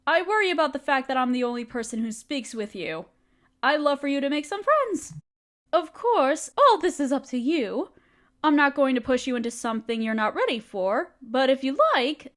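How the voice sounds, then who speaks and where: A woman playing a motherly spider queen in a roleplay audio, speaking tenderly and patiently with gentle teasing and formal wording. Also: high voice, expressive, normal speed. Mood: earnest, caring. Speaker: mother figure teaching a language. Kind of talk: roleplay audio, softly acted monologue.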